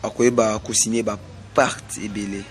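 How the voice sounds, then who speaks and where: A man from Congo with a Congolese French accent, delivering a slow, repetitive prophetic sermon. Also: on the low side, flat delivery, faint music behind. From Congo (DRC). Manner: slow, repetitive, emphatic pronouncements. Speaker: self-styled prophet.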